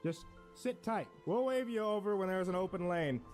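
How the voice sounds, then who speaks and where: A man's voice: sits high, big pitch swings, a little slow, fairly bright tone, faint music behind, voice pushed up, full character voice. Man playing a firm police officer, voice raised. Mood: grave. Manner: firm, instructing. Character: firm, matter-of-fact male police officer.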